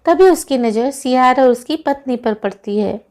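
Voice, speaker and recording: A woman's voice: high-pitched, animated, moderate pace, darkish tone. A woman narrating a moral story for children as a storyteller.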